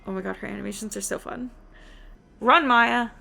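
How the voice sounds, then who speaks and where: A woman giving casual, excitable gameplay commentary as she plays. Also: medium pitch, racing, vocal fry, slightly rough, soft background music. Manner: excitable, gushing. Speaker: gamer, channel host. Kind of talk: casual gameplay commentary, reading quest dialogue aloud.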